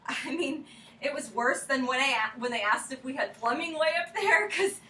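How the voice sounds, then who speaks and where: A flustered, lovelorn woman played by an actress in a staged play reading, with a high, bright voice. She stammers and rambles apologetically. The sound is roomy, picked up from a distance. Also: animated, normal speed. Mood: embarrassed, rambling. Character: flustered, heartbroken woman searching for a lost love. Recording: roomy, distant mic, faint noise.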